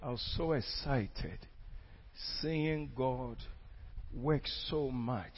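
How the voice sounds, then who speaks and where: A man's voice: mid-range voice, very slow. A man preaching a sermon, telling of a crusade with fervent, exclamatory delivery and frequent repetitions. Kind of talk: sermon testimony. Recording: dull lo-fi recording.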